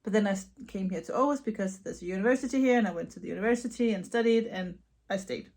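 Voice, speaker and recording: A Danish woman with a Danish accent, answering viewer questions in a casual knitting vlog at home, chatty with soft laughs and repeated words. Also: medium pitch, fast. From Denmark. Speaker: knitting vlogger and yarn dyer. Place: her home.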